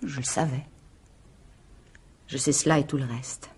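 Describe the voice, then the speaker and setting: An actress playing a cold, determined woman in a radio mystery drama, terse and reserved, with a husky voice. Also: very deep voice, steady tone, measured pace, a little mellow, exaggerated voice. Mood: cold, knowing. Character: cold, determined woman confronting a man in hiding.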